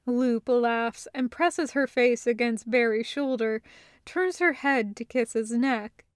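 Female audiobook narrator, slightly high-pitched, measured and unhurried, with a dry, close sound. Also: clean tone, no echo. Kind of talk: scripted fiction narration, measured.

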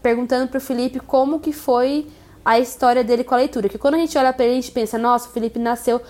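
A woman's voice: fairly high pitch, steady tone, quick pace, darkish tone. A woman around thirty, hosting a casual podcast interview and talking straight to her listeners in a chatty, upbeat way. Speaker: podcast host.

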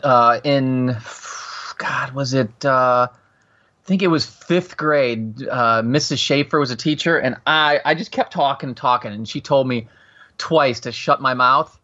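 A man in his forties with a bright, forceful voice, telling long rambling stories on a podcast. He restarts his sentences often and raises his voice as he gets into it. His audio comes through dull and lo-fi, like a remote call. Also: mid-range voice, a little slow. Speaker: podcast guest, host of another show. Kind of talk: casual podcast chat, storytelling.

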